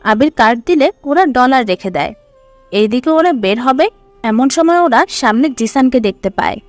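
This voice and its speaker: A woman's voice: high-pitched, expressive, moderate pace, no echo, faint music behind. A woman narrator reading a scripted dramatic story.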